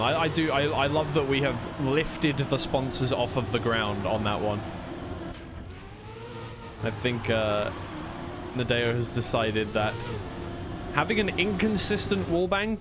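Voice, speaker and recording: A man's voice: mid-range voice, background noise. A man commentating live on an esports racing match, casually rambling and correcting himself between bursts of play-by-play. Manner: rambling, self-correcting asides.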